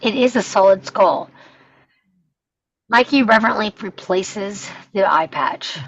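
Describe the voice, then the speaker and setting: Female narrator for script stage directions, raspy edge, medium pitch, slightly muffled lo-fi recording. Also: lively intonation, unhurried, fairly bright tone, dry recording. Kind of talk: scripted narration at a table read.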